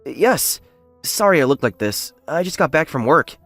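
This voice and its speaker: A man's voice: on the high side, big pitch swings, normal speed, fairly bright tone, no echo, soft background music, exaggerated voice. A man playing a modest, plain-spoken young factory worker who narrates his own story in a manga dub. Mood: polite, earnest. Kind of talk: English manga dub acting and first-person narration.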